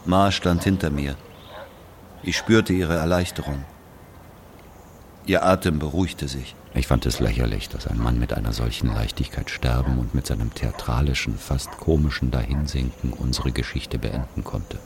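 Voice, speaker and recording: A man playing an aging Algerian who confesses an old killing in a brooding, deliberate literary monologue. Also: extremely low pitch, steady tone, creaky, noisy background. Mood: grave, confessing. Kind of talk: literary monologue, dramatic audio narration.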